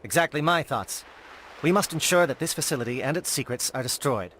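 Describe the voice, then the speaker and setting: An actor playing a stern, brisk military alien captain in a video game. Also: male voice, on the high side, lively intonation, a bit bright, a little hiss or hum. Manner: brisk, formal military delivery.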